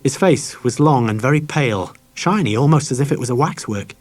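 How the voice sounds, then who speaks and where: A man's voice: mid-range voice, lively intonation, a little fast. Male storyteller reading a children's novel. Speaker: audiobook narrator. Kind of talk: scripted narration of a children's novel.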